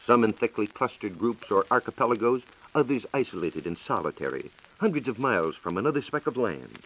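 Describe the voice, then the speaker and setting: American man, low voice, animated intonation, formal, explanatory, 1940s radio science talk. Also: fairly quick, poor audio. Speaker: science reporter.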